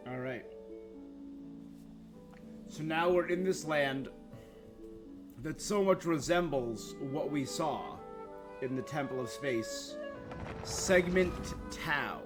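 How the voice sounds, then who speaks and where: A man's voice: a little high, animated, slow, long pauses, music in the background. A man hosting a gaming livestream, chatting casually and informally with his viewers in a laid-back way. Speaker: game streamer, host.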